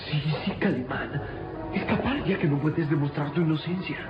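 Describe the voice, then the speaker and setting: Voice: mid-range voice, expressive, moderate pace, lightly acted. A performer playing a devoted, anxious young boy in a radionovela, with a pleading, urgent manner. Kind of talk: radionovela acting. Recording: dull echoey radio drama, loud music.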